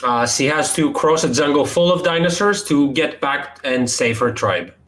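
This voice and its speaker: A young man from Greece, a game developer, presenting his indie game in a hesitant way, often pausing with fillers. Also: a little high, lively intonation, a bit bright.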